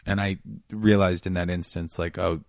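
Low gravelly comedian guy, kinda raspy, rambles and hunts for words, dry sound. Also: steady tone, normal speed, dry recording. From Seattle area, USA. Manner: rambling, self-deprecating, searches for words. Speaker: comedian guest. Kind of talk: casual interview chat.

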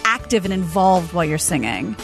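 A woman's voice: on the low side, big pitch swings, brisk, creaky, over music. A woman co-hosting a studio chat, speaking in a thoughtful, admiring way. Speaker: co-host.

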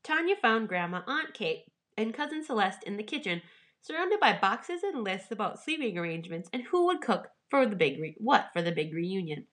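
A woman with a bright, forward voice reads a children's story aloud. She narrates expressively, as a storyteller does, at a medium pitch. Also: sing-song, normal speed.

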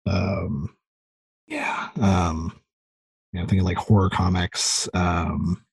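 A man's voice: low-pitched, little pitch movement, takes it slowly, creaky, slightly rough, dry recording. A man chatting casually as a podcast guest, rambling and repeating words as he thinks aloud. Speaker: podcast guest, audio drama writer. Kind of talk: casual chat.

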